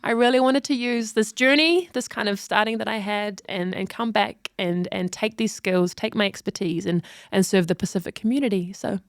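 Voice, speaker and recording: Lively New woman podcaster. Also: mid-range voice, normal speed, few pauses, clean tone, dry recording, studio quality. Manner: animated storytelling, laughs often, repeats words. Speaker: podcast co-host. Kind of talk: casual podcast chat.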